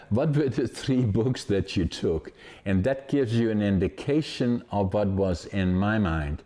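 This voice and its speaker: Low, mellow older Dutch man. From Netherlands, northeastern Groningen province. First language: Dutch dialect of Groningen. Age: 80s. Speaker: podcast host. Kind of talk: conversational storytelling interview.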